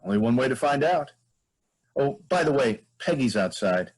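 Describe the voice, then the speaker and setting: A man playing a defiant, taunting insurrectionist in a staged play reading, with a husky voice and dry delivery. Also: mid-range voice, lively intonation, normal speed, lightly acted. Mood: cool taunting.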